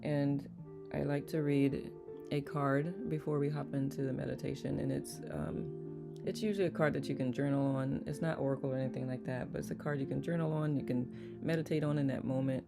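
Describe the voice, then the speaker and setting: Livestream host, casual chat, greets viewers by name, gravelly voice, guitar music underneath. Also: mid-range voice, steady tone, a little fast. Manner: chatty, laughs easily, greets viewers by name. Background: soft guitar music.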